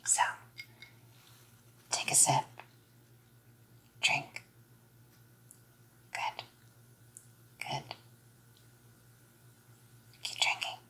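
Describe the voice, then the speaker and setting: A young woman performing a whispered ASMR role-play as a possessive girlfriend, coaxing and softly commanding, close to the microphone. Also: very slow, long pauses. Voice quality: breathy whisper. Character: possessive psycho girlfriend playing nurse. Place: small dim indoor room.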